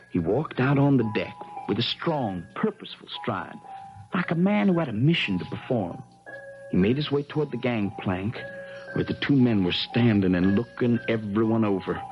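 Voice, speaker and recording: A man's voice: mid-range voice, very animated, moderate pace, soft background music, lightly acted. An actor playing an earnest, helpful young American man telling his adventure in a folksy way in a 1970s radio drama. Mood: reflective storytelling. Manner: folksy storytelling. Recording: dull vintage radio broadcast.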